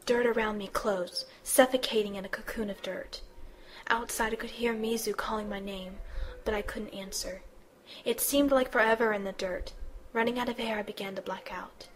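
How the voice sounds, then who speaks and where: A woman's voice: medium pitch, steady tone, normal speed, a crowd in the background, lightly acted, poor audio. A woman playing an anxious teenage schoolgirl who narrates and acts in an amateur audio drama, earnest and dramatic. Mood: uneasy narrating.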